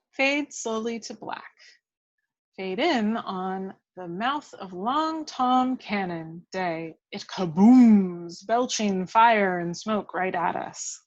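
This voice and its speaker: A woman's voice: medium pitch, animated, takes it slowly, muffled recording. A woman reading stage directions as scripted narration at a table read of a film script.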